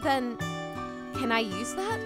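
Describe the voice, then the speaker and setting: High, girlish young student voiced by a woman. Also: very animated, slow pace. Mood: matter-of-fact. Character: frugal, frank, studious young female medical student. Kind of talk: manga dub dialogue. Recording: music behind the voice. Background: background music.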